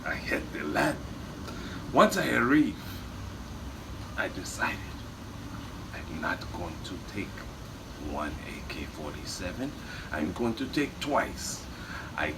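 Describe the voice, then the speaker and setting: A man's voice: low voice, big pitch swings, takes it slowly, long pauses, noisy background, heavily acted. A man playing a boastful, melodramatic Bulgarian war veteran telling rambling tales in broken English. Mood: boastful, dramatic. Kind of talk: improvised comic in-character storytelling.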